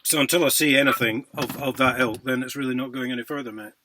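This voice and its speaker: A man's voice: mid-range voice, steady tone, fast, background noise. A British man in a casual phone conversation, speaking in an insistent, plain-spoken, matey way. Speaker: caller disputing a court judgment.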